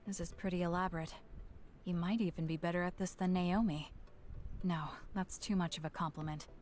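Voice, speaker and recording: A woman playing a reserved, caring young female fighter in a video game, speaking in a measured, matter-of-fact way. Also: fairly low pitch, fairly even intonation, a little mellow, a little hiss or hum, natural voice. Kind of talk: English video game cutscene voice acting. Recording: game audio with background music.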